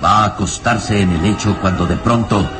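A man narrating a radio melodrama in suspenseful, measured phrases with dramatic emphasis. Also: lowish voice, steady tone. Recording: radio drama with music underneath.

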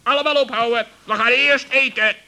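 Piercing, bossy cartoonish bird. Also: male voice, extremely high pitch, fairly even intonation, brisk, vocal fry, pushed and bright, voice pushed up, heavily acted, poor audio. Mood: bossy, blunt. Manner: curt, commanding. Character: bossy, blunt, snappy bird. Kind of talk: 1960s children's radio play.

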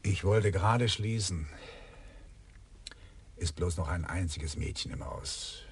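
A gravelly sly club doorman. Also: deep voice, takes it slowly, heavily acted. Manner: chatty, gossipy. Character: sly male club doorman, old acquaintance of police. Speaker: nightclub doorman. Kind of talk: radio play acting.

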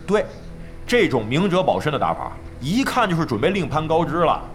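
An actor in a radio drama playing a wry, teasing veteran esports team member with a theatrical, exclamatory manner. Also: male voice, a little high, very animated, brisk. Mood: wry analysis. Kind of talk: Chinese radio drama acting.

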